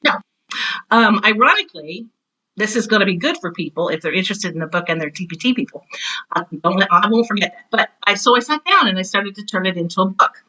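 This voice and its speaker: Bright, chatty American woman. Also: mid-range voice, expressive, fast, low-quality recording. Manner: chatty, enthusiastic, self-deprecating, tells stories with quoted asides. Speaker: podcast guest, teacher and author. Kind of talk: casual interview chat.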